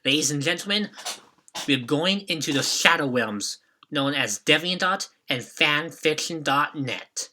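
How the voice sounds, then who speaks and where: A man's voice: fairly high pitch, animated, a little slow, bright, forceful tone. A young man hosting a scripted retrospective, giving commentary as a video reviewer.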